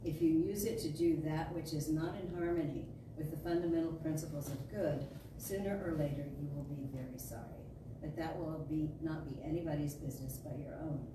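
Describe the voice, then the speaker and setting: A woman giving an emphatic, declarative reading of a spiritual sermon, with deliberate phrasing. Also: low-pitched, fairly even intonation. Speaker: storyteller reading a spiritual talk. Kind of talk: dramatic reading of a metaphysical sermon. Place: large indoor spiritual center room. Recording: echoey hall from far off, noisy.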